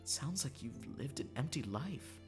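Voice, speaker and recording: A streamer voicing a gentle young man in disguise from a visual novel, speaking softly and carefully. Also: mid-range voice, lively intonation, moderate pace, faint music behind, lightly acted. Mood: gentle, sad.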